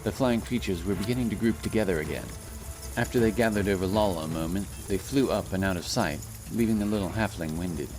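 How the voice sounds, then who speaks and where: A man's voice: deep voice, quick pace, over music. A man reading scripted, dramatic narration for a fantasy story.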